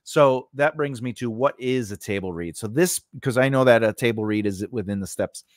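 A man in his forties hosts a lesson in a mid-pitched voice, recorded close on a studio microphone with very little room sound. He talks informally and haltingly, often rewording his points as he explains them. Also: no echo. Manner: conversational, halting, often rephrasing himself. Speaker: host of a scriptwriting lesson. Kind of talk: informal instructional talk to camera. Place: small indoor recording space. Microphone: close studio microphone on a stand.

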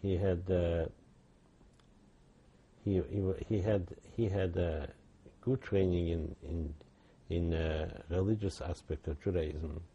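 An older man, giving halting oral history testimony with many restarts. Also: low voice, steady tone, dark tone, slightly rough, lo-fi sound. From Poland. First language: Yiddish. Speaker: interviewee.

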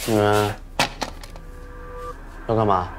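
An actor playing an anxious, earnest young bus driver, hesitant and often dropping to a breathy near-whisper. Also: male voice, lowish voice, level tone, takes it slowly, background noise. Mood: wary. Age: young adult. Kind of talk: screen drama acting. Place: furnished room indoors.

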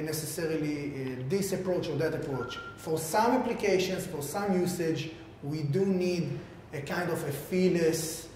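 Guy doing a halting tech pitch, super echoey reverby room, faint rumble. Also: darkish tone. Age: 30s. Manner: halting, filler-heavy delivery with repeated words. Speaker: tech company founder presenting a product. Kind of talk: informal keynote explanation to camera.

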